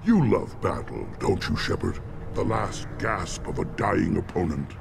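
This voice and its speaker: A man playing a solemn, battle-loving alien shaman in a video game, speaking in a gravelly, ceremonial, measured way. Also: low-pitched, expressive, background noise, full character voice. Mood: stern, approving. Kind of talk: dramatic video game acting.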